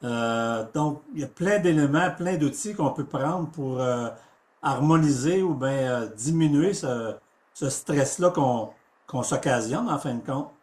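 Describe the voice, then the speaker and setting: A man, hosting a podcast and asking questions while pausing often to search for words. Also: mid-range voice, a little mellow. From Quebec. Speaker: podcast host. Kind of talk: casual podcast interview. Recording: echoey room.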